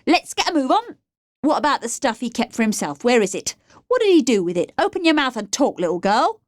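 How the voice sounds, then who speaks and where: A woman's voice: on the high side, sing-song, a little slow, no room sound, pitched above the performer's own voice. A woman voicing a small, cruel young male thug who speaks in a menacing staccato in an audiobook. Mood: impatient, menacing. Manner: staccato, sneering. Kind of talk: audiobook character voice.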